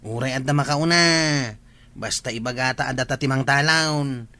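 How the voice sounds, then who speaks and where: A man's voice: mid-range voice, moderate pace, pushed and bright. A man playing an eager village farmhand in a comedy radio drama, speaking with an Ilocano accent. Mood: insistent.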